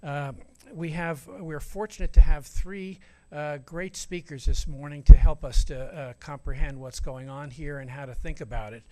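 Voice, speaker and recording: A man's voice: a little high, steady tone, moderate pace, a little mellow. A man moderating a church forum, introducing speakers hesitantly with many fillers. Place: church meeting room.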